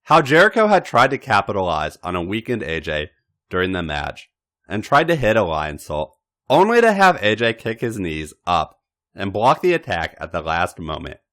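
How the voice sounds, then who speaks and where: A man's voice: low voice, big pitch swings, moderate pace, fairly bright tone. A man narrating a story as a podcast reader, with a dramatic, emphatic delivery. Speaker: podcast host reading fan fiction. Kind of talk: expressive narrated story reading.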